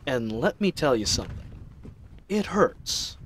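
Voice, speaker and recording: An idealistic knight captain voiced by a man speaks in a mid-pitched voice, earnest and given to rambling. It is a fantasy audio drama monologue, reflective yet playful, with clear ambient noise laid behind it. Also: sing-song, a little slow. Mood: animated, rambling. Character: earnest, chatty young male knight captain, idealistic and teasing. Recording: noisy ambient sound effects bed. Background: added outdoor ambience sound effects.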